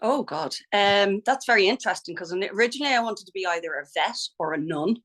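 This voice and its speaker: A woman's voice: medium pitch, lively intonation, fast talker, fairly bright tone. A middle-aged Irish woman talking as a podcast guest, chatty and frank, laughing easily as she recalls her life as a ballet teacher. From Ireland. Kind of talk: casual interview chat.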